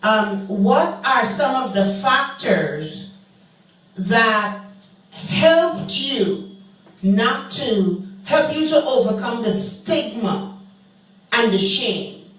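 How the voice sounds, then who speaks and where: Slow-speaking woman host, echoey room. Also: a little high, very animated, a bit bright. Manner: slow, deliberate questioning. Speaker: podcast host. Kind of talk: interview questions. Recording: echoey room, distant mic.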